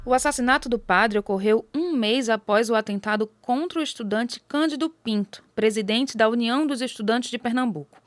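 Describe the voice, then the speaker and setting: A woman's voice: fairly high pitch, slight background noise. A woman with a Brazilian accent reading scripted documentary narration.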